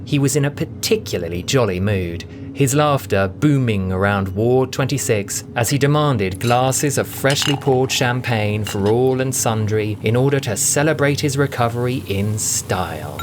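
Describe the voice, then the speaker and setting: A man narrating a scripted audio drama as a theatrical storyteller, with deliberate emphasis. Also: mid-range voice. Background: music.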